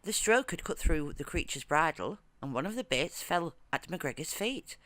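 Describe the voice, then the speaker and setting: A storyteller narrating a folktale from a script in measured, formal storybook phrasing. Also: medium pitch, very animated, fairly bright tone, dry recording.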